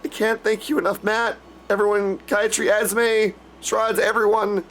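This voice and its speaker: A man's voice: sits high, little pitch movement, measured pace, fairly bright tone, a little hiss or hum. A man hosting a casual live stream, chatting to his viewers in an effusive, gushing way and calling them dudes. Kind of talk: casual livestream chat to viewers.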